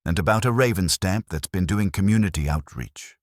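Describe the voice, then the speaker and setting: A man voicing a brisk, wisecracking male detective who questions people tersely and wryly in an audiobook mystery. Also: low-pitched, no echo, studio quality, voice pushed up, natural voice. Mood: probing. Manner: terse, wry.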